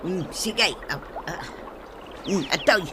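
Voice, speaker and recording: A woman's voice: on the low side, expressive, slow pace, noisy background. A woman with an Ilocano accent playing a curious, money-minded village accomplice in a comedy drama. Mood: eager, sly. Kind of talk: Ilocano comedy radio-style drama acting.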